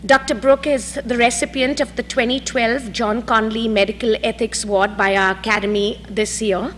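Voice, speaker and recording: A woman hosting a medical lecture in a hall, introducing the guest speaker in a measured way with occasional fillers. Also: on the high side, fairly even intonation, fairly bright tone, a little hiss or hum. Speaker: faculty host introducing the speaker.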